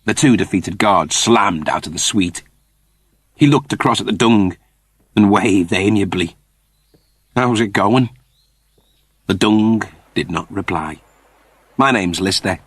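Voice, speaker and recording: A man's voice: on the low side, fairly bright tone, breathy edge, dry recording. A man narrating a scripted story in a dramatic storytelling style. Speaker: audiobook narrator.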